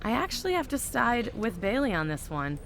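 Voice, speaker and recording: A woman's voice: on the high side, very animated, fairly quick, gravelly, fairly bright tone, slight background noise, exaggerated voice. An actress playing a sarcastic, no-nonsense woman general manager in a wrestling video game, dry and authoritative. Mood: stern. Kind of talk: video game cutscene acting.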